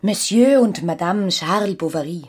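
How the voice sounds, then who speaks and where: Young wife, disillusioned, restless, breathy-rough lower voice, dry studio sound, deliberate radio drama monologue. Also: female voice, lively intonation, no echo. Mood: dreamy, testing. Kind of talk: radio play inner monologue.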